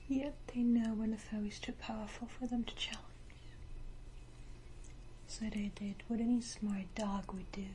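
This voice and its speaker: A woman voicing a proud, condescending vampire matriarch in a breathy, deliberate ASMR whisper, with theatrical pauses and close to the microphone. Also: on the high side, warm tone, slight background noise, low-quality recording. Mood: smug, contemptuous. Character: powerful female vampire matriarch, menacingly tender, proud, condescending.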